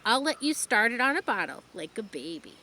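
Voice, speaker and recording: A woman reading a gentle, firm farmer dad. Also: a little high, very animated, brisk, heavily acted, poor audio.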